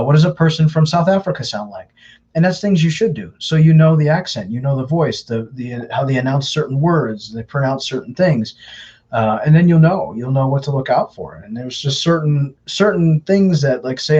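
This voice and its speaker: A man's voice: mid-range voice, lively intonation, normal speed, darkish tone, husky, lo-fi sound. A man hosting a live Q&A, earnestly giving advice to viewers in a loose, repetitive way. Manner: earnest, advising, repeats words often. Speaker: host answering viewer questions. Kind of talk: live Q&A, casual explaining.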